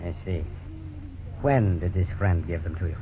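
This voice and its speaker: Man playing a calm policeman on tinny radio. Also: deep voice, lightly acted. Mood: firm, patient. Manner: measured, courteous, firm. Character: calm, polite, persistent police officer questioning suspects. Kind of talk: 1950s radio drama acting. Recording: tinny, noisy 1950s radio recording.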